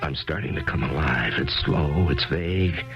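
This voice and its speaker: An actor playing a grieving, widowed author who narrates and talks in a reflective, halting way, in a 1970s radio drama. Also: male voice, very deep voice. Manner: reflective, halting, stammers when eager. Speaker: narrator and lead character. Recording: dull lo-fi archival radio with faint music.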